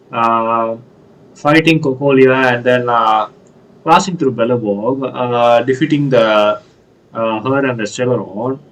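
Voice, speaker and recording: A man giving casual playthrough commentary, halting as he searches for words. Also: medium pitch, distant mic, rough recording. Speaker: gaming video creator.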